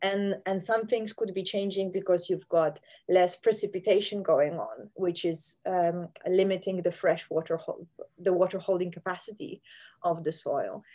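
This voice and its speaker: A woman's voice: fairly low pitch, normal speed, warm tone. A woman, a scientist explaining her research in a virtual interview, hesitant with many fillers. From Poland. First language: Polish. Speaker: plant scientist guest. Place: home room with plants. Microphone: video call.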